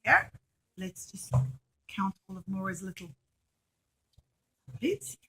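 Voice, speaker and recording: A woman's voice: medium pitch, big pitch swings, takes it slowly, gravelly, pushed and bright, breathy edge, low-quality recording. A woman telling a story to young children as a puppet show, with simple phrasing and dramatic emphasis, addressing them directly.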